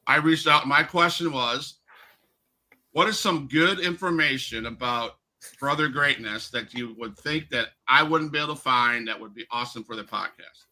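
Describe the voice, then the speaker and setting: A man's voice: medium pitch, normal speed, sharp tone, low-quality recording. A man hosting a wrestling podcast, asking questions casually and reading out messages he got. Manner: friendly, reads questions and texts aloud. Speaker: podcast host.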